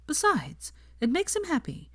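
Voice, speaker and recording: A woman's voice: high voice, wildly swooping pitch, measured pace, bright, forceful tone, voice pushed up, exaggerated voice. A woman reading the part of an earnest, prim young woman who speaks articulately. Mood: chatty.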